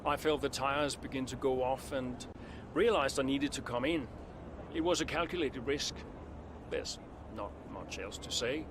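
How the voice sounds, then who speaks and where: An actor playing a proud, guarded veteran racing driver in a video game, terse and defensive in manner. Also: male voice, medium pitch, unhurried, background noise, close to an everyday voice. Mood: guarded calm. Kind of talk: dramatic video game cutscene acting.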